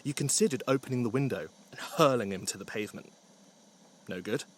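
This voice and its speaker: A man's voice: medium pitch, fairly quick, slight background noise. A man narrating a scripted story with wry, dry humour.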